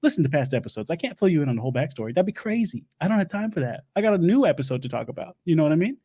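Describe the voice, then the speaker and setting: Male comic doing a high-voiced, put-on Louisiana doomsday-prep host, rambly and self-important. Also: very animated, rapid-fire, warm tone, husky, exaggerated voice. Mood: rambling. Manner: rambling, stumbling, laughs at own jokes. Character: bumbling self-proclaimed Southern doomsday-prep lecturer man. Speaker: comedy show host. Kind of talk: improvised comic monologue. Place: home studio.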